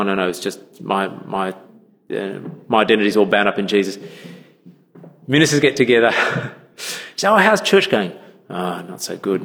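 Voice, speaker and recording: Man, lively pitch, conversational sermon, long church reverb. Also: vocal fry. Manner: conversational, acts out quoted voices. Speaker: minister.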